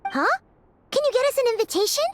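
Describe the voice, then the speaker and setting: A woman voicing a small, excitable, childlike fairy companion in a video game, exclamatory and nosy. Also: very high-pitched, quick pace. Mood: startled. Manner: refers to self in third person, exclamatory. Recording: music behind the voice.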